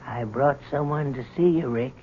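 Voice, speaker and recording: An actor playing a plain, considerate doctor in a radio drama. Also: male voice, mid-range voice, normal speed, warm tone, close to an everyday voice. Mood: gentle, matter-of-fact. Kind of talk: 1970s radio drama acting. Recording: muffled, noisy old radio broadcast.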